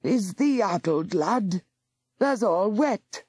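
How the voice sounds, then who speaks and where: A woman voicing a gruff old male cave-dwelling Aufwader elder. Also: medium pitch, animated, takes it slowly, darkish tone, no room sound, studio quality, voice pushed up. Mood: grumbling. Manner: gruff, broad dialect.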